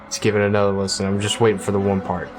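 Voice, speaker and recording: A man's voice: lowish voice, monotone, rapid-fire, music in the background. A man in his thirties giving casual, slangy reaction commentary, thinking aloud into a close mic in a small room. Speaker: music reaction video host.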